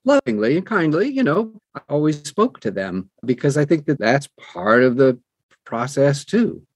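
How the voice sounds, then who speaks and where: An older man who narrates audiobooks, talking conversationally in an interview with measured, emphatic phrasing and deliberate pauses. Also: on the high side, big pitch swings, no echo.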